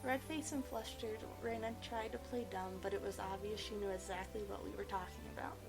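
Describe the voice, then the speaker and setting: A woman reading a visual novel aloud as expressive narration. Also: mid-range voice, fairly quick, vocal fry. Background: game music.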